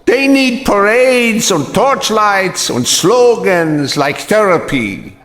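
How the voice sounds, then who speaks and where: A man's voice: high-pitched, lively intonation, slow pace, a bit bright, full character voice. A scornful bitter old man. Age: older. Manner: scornful, cutting, mocking.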